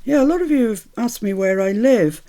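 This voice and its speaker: A woman's voice: fairly high pitch, animated, fairly quick, mellow. A woman born in Scotland with a mixed British accent from years in the Midlands, chatting cheerfully through a crafting tutorial as she works. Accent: mixed British, Scottish-born, Midlands. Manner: chatty, cheerful, laughs often, talks while working. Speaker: craft channel host.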